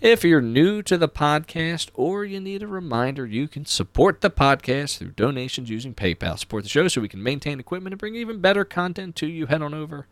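Lively male podcast host with animated pitch. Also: fairly quick, few pauses, no echo. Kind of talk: podcast presenting, chatty announcements.

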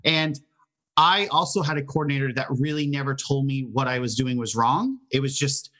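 A man speaking as the host of a podcast, addressing his listeners in clear, organised phrases. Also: mid-range voice, moderate pace, bright, forceful tone. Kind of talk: conversational podcast hosting.

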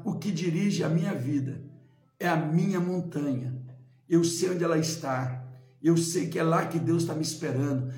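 A man's voice: fairly high pitch, a little mellow, reverberant. A male pastor preaching a sermon with emphatic, repeated exhortations.

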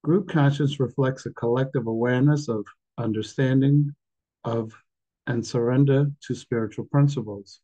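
A man with a mellow, somewhat strained voice, since he is losing it, reads a text aloud steadily. The sound is dull and muffled, as on a video call. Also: medium pitch, steady tone, moderate pace. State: losing his voice. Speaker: meeting participant reading aloud. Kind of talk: reading a passage aloud, then sharing.

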